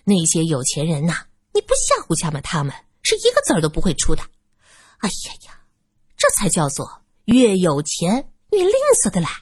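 A woman's voice: fairly low pitch, wildly swooping pitch, normal speed, slightly rough, no room sound, clean studio sound, heavily acted. A woman voicing a greedy old brothel madam who shrieks, wails and sneers. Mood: wheedling.

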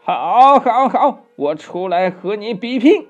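A man's voice: high voice, expressive, takes it slowly, voice pushed up, full character voice. A man voicing a cocky, bold monkey hero in a storybook tale. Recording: dull lo-fi storytelling recording with music.

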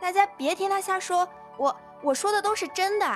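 A woman's voice: very high-pitched, brisk, faint music behind. A woman playing an ingratiating, persuasive health-product saleswoman in a radio play. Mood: flustered, defensive. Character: smooth-talking, pushy female health-product saleswoman pitching magnetic mattresses. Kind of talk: amateur legal-education radio play.

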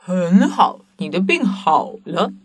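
A woman playing a measured, authoritative royal doctor in a children's audio story, delivering verdicts from afar in an echoey recording. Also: fairly low pitch, sing-song. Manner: slow, pronouncing verdicts. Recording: very echoey, distant, loud music.

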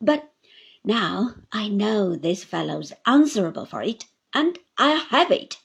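Fierce elderly aunt in a storybook reading, loud and emphatic, put on by a woman narrator. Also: mid-range voice, very animated, slow pace, vocal fry, slightly rough, full character voice. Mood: indignant.

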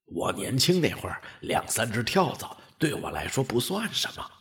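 An actor playing a friendly old dog, easygoing and eager, in a radio drama. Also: male voice, medium pitch, wildly swooping pitch, moderate pace, fairly bright tone. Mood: warm, contented. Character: friendly old dog, a space explorer's loyal companion.

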